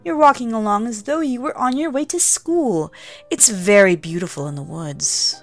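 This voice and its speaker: A woman voicing a sly, wicked male wolf in a storybook reading, coaxing and slow. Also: on the high side, lively intonation, soft background music.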